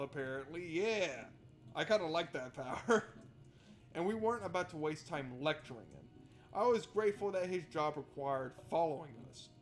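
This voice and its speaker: A male game streamer with a fairly high voice. He gives casual, sweary commentary, half to himself, and reads on-screen text aloud. Light game music sits behind him. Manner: informal, sweary, talks to himself.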